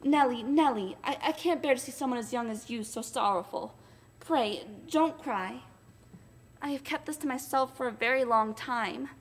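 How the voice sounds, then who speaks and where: A woman's voice: high-pitched, normal speed, slight background noise, voice pushed up. Woman doing a timid, stammering wife. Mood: tender. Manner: stammering, soft, hesitant. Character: timid, submissive wife, gentle and anxious.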